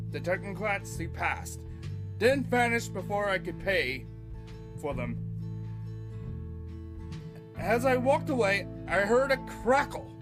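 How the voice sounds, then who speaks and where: Expressive, slow-talking man over music. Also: high-pitched. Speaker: host and writer of an audio play podcast. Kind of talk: theatrical verse reading and podcast narration.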